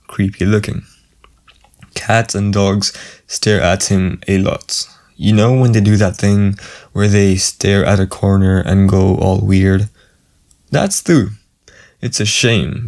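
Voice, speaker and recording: A man playing a friendly, gentle sleep paralysis demon in a casual, soothing, reassuring ASMR boyfriend roleplay. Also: lowish voice, fairly even intonation, takes it slowly. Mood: light, chatty.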